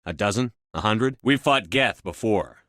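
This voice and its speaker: An actor playing a stern, authoritative military space commander in a video game, with clipped, controlled, matter-of-fact delivery. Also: male voice, fairly low pitch, lively intonation, normal speed, fairly bright tone, no echo, studio quality.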